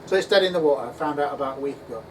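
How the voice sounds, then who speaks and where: A man giving an animated conference talk in a pub function room, acting out imagined voices as he goes. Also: mid-range voice, very fast. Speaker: conference speaker. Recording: distant voice with faint room noise.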